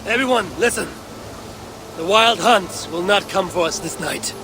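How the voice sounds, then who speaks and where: An actor playing a proud, steady Norse warrior leader in a video game, with a gravelly voice and measured, resolute delivery. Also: male voice, high voice, noisy background. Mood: rousing. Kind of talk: dramatic video game acting.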